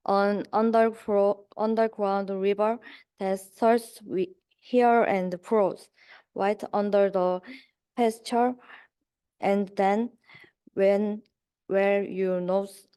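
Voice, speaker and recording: A young woman student reading a rhyming children's book aloud in a halting, word-by-word way. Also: medium pitch, fairly even intonation, dark tone, smooth. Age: young adult. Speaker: student reader.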